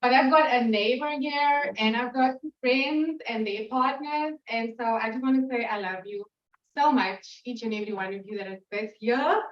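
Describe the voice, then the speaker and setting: High-pitched woman from south africa, giggly and emotional, dull far-off sound in an echoey room. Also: moderate pace. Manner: giggly, emotional, informal with many fillers. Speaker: poet reading at a literary event. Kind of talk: warm, chatty stage talk to an audience. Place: reading event room with audience.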